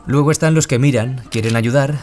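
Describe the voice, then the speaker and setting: A man's voice: mid-range voice, brisk, darkish tone, a little hiss or hum, close to an everyday voice. A man with a Peninsular Spanish accent playing an earnest, reflective author who survived bullying, in a scripted radio interview. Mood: reflective, serious. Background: music.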